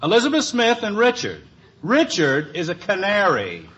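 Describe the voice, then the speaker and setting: A man's voice: sits high, wildly swooping pitch, moderate pace, a bit bright, full character voice. An actor playing an exasperated suburban father with dry, teasing sarcasm in a 1950s radio sitcom. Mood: teasing, sarcastic. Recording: dull, slightly noisy old radio recording.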